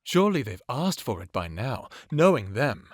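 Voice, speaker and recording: A man's voice: medium pitch, big pitch swings, normal speed, dry recording, clean studio sound. A man playing a cruel, manipulative vampire ex in an audio drama, savoring his words with a mocking, taunting drawl. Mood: mocking, probing. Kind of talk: dramatic audio roleplay acting.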